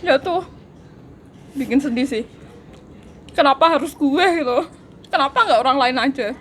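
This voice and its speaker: A woman tells her story in an easygoing chat, animated and quick to laugh. Her voice sits a little high. Steady background noise runs under her voice. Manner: animated, laughs often while telling. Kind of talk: casual chat. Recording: noisy background.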